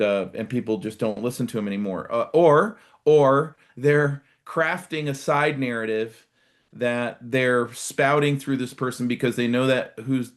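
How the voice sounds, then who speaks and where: American man giving measured political commentary. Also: mid-range voice. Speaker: guest commentator, retired military. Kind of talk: live political commentary, conversational.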